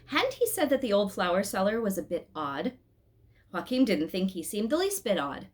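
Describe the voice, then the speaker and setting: A woman reads a story aloud with a very lively, swooping delivery. Her voice sits at a middle pitch, and she keeps it informal and expressive, speaking directly to her listeners. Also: moderate pace. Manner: animated, addresses listeners with asides. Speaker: storyteller reading a book aloud.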